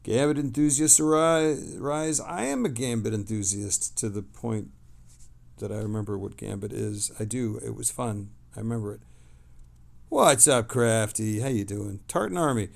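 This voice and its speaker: Low, gravelly man chatting on stream. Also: normal speed. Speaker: livestream host reading chat messages. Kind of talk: casual livestream chat, reading messages aloud.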